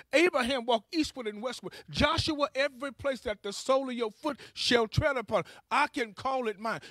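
Fervent, very high-pitched male preacher. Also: a bit bright, no room sound. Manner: fervent, emphatic, exhorting with repeated phrases. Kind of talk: charismatic sermon.

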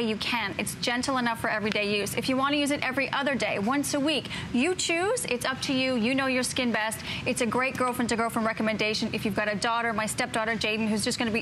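Persuasive fortyish woman, slightly high voice. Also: background noise. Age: 40s. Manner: enthusiastic, persuasive testimonial. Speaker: brand guest presenter. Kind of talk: TV shopping presentation. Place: TV studio set.

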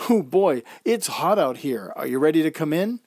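A man plays a stern psychiatrist in a radio play, polite on the surface and firmly probing. The voice is raised a little in pitch, with a dry, close sound. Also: big pitch swings, moderate pace, darkish tone, no echo. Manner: firm, clipped questioning.

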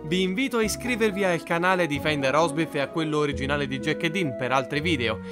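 A man's voice: a little high, fast talker, music in the background. A man presenting a scripted promotional outro for a channel.

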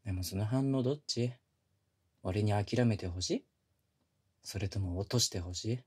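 A man's voice: fairly low pitch, normal speed, a little mellow, slightly rough. A man playing a pushy, flirtatious younger coworker with puppyish eagerness, speaking casually and intimately to the listener in a romantic audio drama. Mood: low, seductive. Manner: casual junior-to-senior speech, teasing and coaxing. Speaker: voice actor in a romantic situation voice drama. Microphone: close binaural studio microphone.

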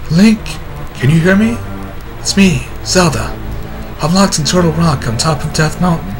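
A captive young princess from a video game, put on by a man, speaks in a raised pitch. The delivery is gentle and pleading, with music behind. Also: lively intonation, normal speed, natural voice.

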